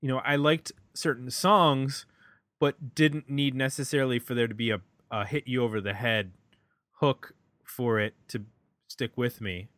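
A man with a mid-pitched, bright and crisp-edged voice hosts a casual music review chat. He is recorded dry, with no room sound around him. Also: steady tone, measured pace, dry recording. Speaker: podcast host.

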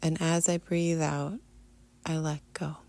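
Female meditation guide, very even and low, soothing and unhurried, drifting toward a whisper. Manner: soothing, unhurried, often trailing into breathy near-whisper. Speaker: guided meditation teacher, podcast host. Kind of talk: guided meditation, soft instruction.

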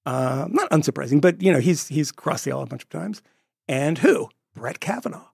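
A man co-hosting a podcast, talking in a quick, digressive, jokey way about politics. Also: on the high side, very animated, creaky, a little mellow, breathy edge, dry recording, studio quality. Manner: quick, digressive, jokey, slips into put-on voices. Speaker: podcast co-host. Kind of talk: casual political chat.

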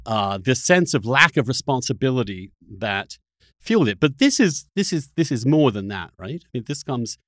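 A man's voice: mid-range voice, sing-song, normal speed, fairly bright tone, no room sound, studio quality. A middle-aged man from Britain, hosting a conversational political discussion in a digressive way, restarting mid-thought. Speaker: podcast host.

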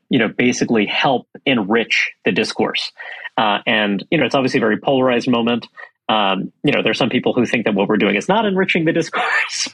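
A man's voice: medium pitch, fairly bright tone, slightly rough, no room sound, rough recording. A man from Brooklyn, New York, heading a think tank, talking in an interview with many fillers and restarts. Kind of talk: conversational interview.

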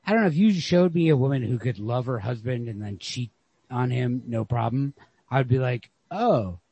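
A man's voice: medium pitch, normal speed, warm tone, slightly rough. A man in a casual literary chat as a podcast host, musing and thinking aloud with frequent swearing. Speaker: podcast host discussing a short story. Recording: lo-fi podcast recording.

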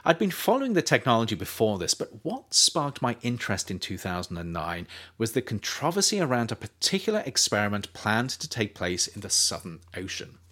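A man narrates his own nonfiction book in an audiobook reading. His voice sits low, but his pitch rises and falls with lively, animated emphasis. He keeps a measured, steady delivery throughout.

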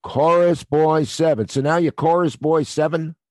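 An actor playing a gruff theater night watchman with a blunt, working-class New way of talking, in an audio drama. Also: a little high, fairly even intonation, moderate pace, darkish tone, no echo, studio quality, close to an everyday voice. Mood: wry.

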